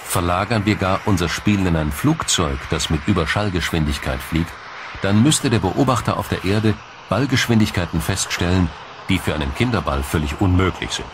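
A man reading a scripted science documentary in a measured, formal, explanatory manner. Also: low voice, lively intonation, noisy background. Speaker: documentary narrator.

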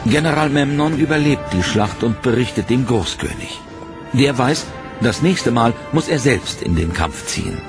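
A man giving scripted, measured documentary narration in a dramatic tone. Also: mid-range voice, expressive. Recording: narration over loud music.

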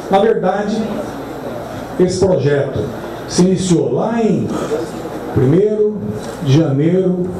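A man from Brazil gives a formal farewell speech as outgoing health secretary, measured, with slow, stretched phrases. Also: fairly high pitch. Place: large crowded hall, indoors. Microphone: far camera in the crowd. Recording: echoey hall heard from far off, noisy crowd.

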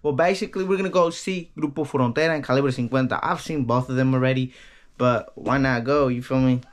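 A man's voice: mid-range voice, brisk. A young man talking slangy and casual as he vlogs, filming himself on a handheld camera in his room, a car and a packed concert hall. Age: young adult. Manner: slangy, hyped, laughing with friends. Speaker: vlogger. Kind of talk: casual vlog chat. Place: car, then loud indoor concert hall. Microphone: handheld action camera. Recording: loud concert music and crowd noise. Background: loud live music and crowd.

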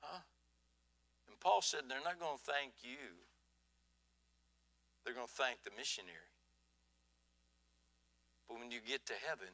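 An older man preaching a folksy sermon on a church stage, swinging from quiet asides to loud emphasis. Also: mid-range voice, rough recording. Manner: folksy, swings from soft to loud, asks "huh?".

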